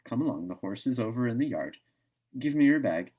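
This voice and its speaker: A man's voice: medium pitch, big pitch swings, a little mellow, husky. Man voicing a shy, bewildered countryman. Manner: shy, blank, halting. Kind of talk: storybook read-aloud.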